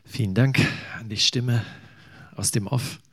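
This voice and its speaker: A man's voice: medium pitch, fairly even intonation, unhurried, no echo, studio quality. A man from eastern Germany preaching in a conversational, musing way, with casual asides. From Thuringia, eastern Germany. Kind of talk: sermon.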